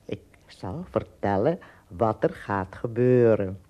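A male actor in a radio play playing a childlike, doting giant keeper who speaks haltingly and repeats words. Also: fairly low pitch, animated, mellow. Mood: doting, hesitant.

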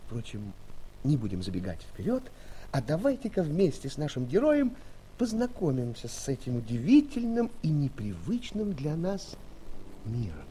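A man playing an expressive fairy-tale narrator who addresses young friends directly and dramatically in a children's audio play. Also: on the high side, moderate pace, slight background noise, low-quality recording. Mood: inviting.

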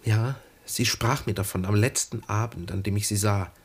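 An actor playing an earnest, wistful lieutenant of twenty-three in a 1960s German radio play, speaking formally and gently probing. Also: male voice, lowish voice, fairly even intonation, normal speed, slight background noise, lightly acted. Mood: hesitant, pleading. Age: young adult.

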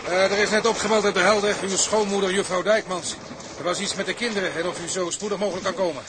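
A man's voice: high voice, steady tone, fast talker, close to an everyday voice. A male actor playing an urgent, respectful dockyard messenger in a drama, deferential as he calls out. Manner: calling out, deferential. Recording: clear outdoor dockside noise.

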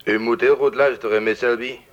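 Through a dull telephone line comes a stern male informant. He speaks in curt, deliberate orders at a middling pitch, secretive and controlling, like a figure in a radio thriller. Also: steady tone. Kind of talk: radio drama acting. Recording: dull, band-limited telephone-like sound.

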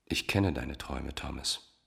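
An actor playing a cold, manipulative doctor in a crime radio drama, speaking in a measured, condescending, commanding way. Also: male voice, rumbling low, creaky, full character voice. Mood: calm, commanding. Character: controlling male doctor, cold manipulative cult-like mastermind. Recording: music playing behind the voice.

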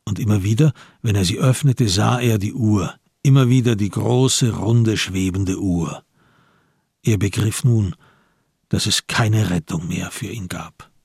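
Slow, husky, gravelly male narrator. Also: lowish voice, a little mellow, no room sound, studio quality. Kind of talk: scripted literary narration for a radio crime drama.

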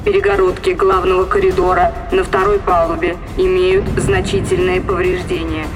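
An actress playing an impassive female ship's computer in a science fiction audio drama, giving formal, measured status reports. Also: on the low side, level tone, over music, close to an everyday voice.

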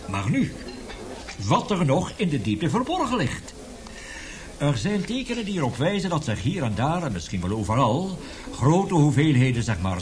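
A man's voice: medium pitch, close to an everyday voice. An actor in a radio drama playing an embittered, passionate male professor who lectures emphatically and indignantly. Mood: lecturing, grave.

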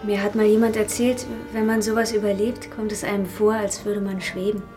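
A woman's voice: medium pitch, flat delivery, fairly quick, over music, close to an everyday voice. Actress playing a kind young woman, earnest, mid-range voice, radio drama, musical backing. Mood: gentle, reflective. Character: young waitress, soft-spoken, earnest and kind.

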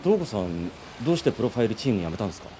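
Bright, lively young detective. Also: male voice, lowish voice, racing, background noise. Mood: businesslike.

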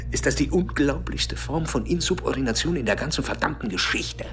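An actor playing a sceptical, agitated man in a German radio drama, questioning with breathy emphasis. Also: medium pitch, heavily acted. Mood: astonished. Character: sceptical, agitated male friend interrogating a soldier. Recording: music behind the voice.

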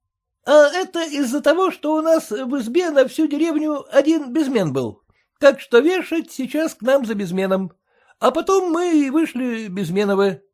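A man's voice: very high-pitched, animated, moderate pace, voice pushed up. A man voicing a young male shop clerk who is humble and obsequious, in a story reading. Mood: fawning. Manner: obsequious, adds polite -s to words. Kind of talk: audiobook character reading.

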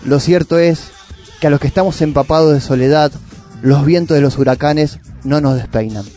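A man with a Rioplatense Argentine accent reads literary prose as a radio presenter. His voice is soft-edged and mellow, and his delivery is reflective and poetic. Light music plays underneath. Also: a little high, normal speed. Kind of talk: literary reading and monologue for a radio show.

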